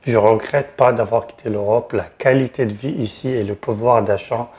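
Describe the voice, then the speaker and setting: Male advice-channel host, mid-pitched. Frank, direct consultant delivery with emphatic key words. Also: fairly even intonation, normal speed, a little mellow. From Tunisia. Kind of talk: explanatory advice video to camera.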